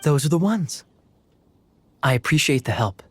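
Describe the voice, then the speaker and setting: A man voicing a suave, teasing knight officer in a video game, smooth and courteous. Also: a little high, expressive, normal speed, slight background noise, close to an everyday voice. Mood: sly. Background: game soundtrack music.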